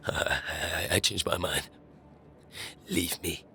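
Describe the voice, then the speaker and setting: A man playing a troubled, self-doubting young man in a dark fantasy audio drama, with a gravelly voice and hesitant, brooding delivery. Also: low-pitched, slow pace, a little hiss or hum, heavily acted. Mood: shaken.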